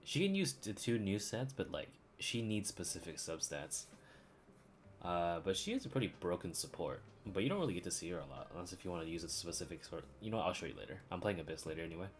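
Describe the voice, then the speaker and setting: Low-voiced woman casually streaming a game. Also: very animated, fast talker. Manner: casual, swearing, filler-heavy. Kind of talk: casual live game commentary.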